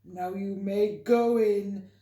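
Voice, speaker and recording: A woman's voice: mid-range voice, fairly even intonation, slow pace, warm tone, reverberant, natural voice. A woman voicing a pompous, all-knowing male elephant in a storybook, issuing orders in a stretched-out, formal way. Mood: pompous, commanding.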